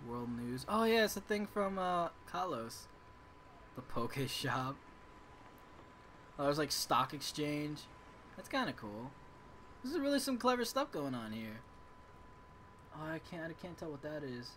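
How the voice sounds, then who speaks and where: Casting: male let's-player, fairly high animated voice, casual and irreverent, game music underneath. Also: unhurried, long pauses, a little hiss or hum. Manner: irreverent, swearing, exclaiming. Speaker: gaming video host. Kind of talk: casual let's-play commentary.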